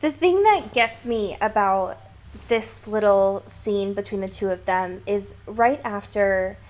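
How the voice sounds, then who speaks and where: A woman's voice: medium pitch, takes it slowly, a little mellow. A woman co-hosting a podcast, discussing a book casually and analytically with emphatic, lightly profane phrasing. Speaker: podcast co-host.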